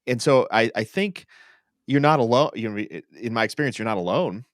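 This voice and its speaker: A man's voice: mid-range voice, expressive, fairly quick, dry recording, studio quality. A man hosting a podcast in casual conversation, reflecting thoughtfully and affirming the person he talks with. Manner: affirming, reflective, checks in with "right?". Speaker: podcast host. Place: online video call for a livestream podcast.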